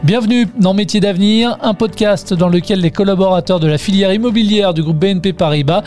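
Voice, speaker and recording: Guy hosting a career podcast, soft dark-ish voice, friendly questions. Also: high-pitched, a little fast, soft background music. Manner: friendly, guiding questions, some fillers. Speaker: podcast host, interviewer. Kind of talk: hosted podcast interview.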